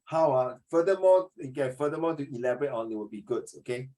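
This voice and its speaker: A man with a Singaporean English accent teaching a social studies class, animated and rambling, slipping into Mandarin now and then. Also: mid-range voice, fast talker.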